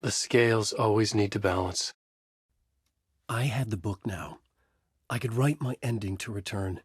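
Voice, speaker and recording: An actor playing a tormented thriller writer trapped in a horror story in a video game, with a grave, introspective, intense delivery and a husky voice. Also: male voice, on the low side, measured pace, no room sound, clean studio sound. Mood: brooding, reflective. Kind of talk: brooding dramatic game dialogue and noir monologue.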